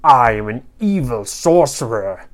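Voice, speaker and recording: A woman acts out a tiny evil sorcerer from a children's storybook. The voice is low and drawn out, full of pompous menace. He gloats and boasts theatrically. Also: sing-song, a little slow, full character voice. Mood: gloating menace.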